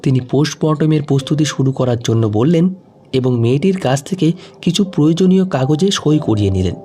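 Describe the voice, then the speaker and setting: A man's voice: fairly high pitch, lively intonation, brisk, warm tone, a little hiss or hum. A man reading a scripted emotional story in the first person as a dramatic narrator. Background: faint music.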